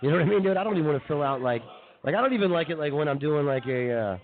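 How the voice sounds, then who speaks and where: Tinny, quick, slangy male radio co-host. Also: fairly high pitch, lively intonation. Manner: laid-back slangy, often says dude and man. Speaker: comedy radio show co-host. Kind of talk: casual rambling chat. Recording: tinny narrow phone-like sound.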